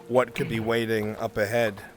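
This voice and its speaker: Male game master, low flat delivery, narrating fantasy roleplay, clear rain noise behind. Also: normal speed. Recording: noisy, with steady rain sounds. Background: rain ambience.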